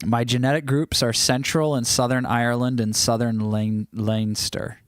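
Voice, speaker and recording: A man's voice: medium pitch, level tone, normal speed, no echo, studio quality. Chatty man hosting a livestream. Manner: chatty, teasing, reads viewer comments aloud; rambling with frequent restarts. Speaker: livestream host. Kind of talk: casual livestream chat.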